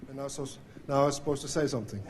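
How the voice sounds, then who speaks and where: A middle-aged man from Switzerland with a Swiss German accent, giving an acceptance speech at an awards ceremony in a hall, halting and full of fillers and restarts. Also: medium pitch. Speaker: award inductee. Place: hall with audience. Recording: faint noise from live recording.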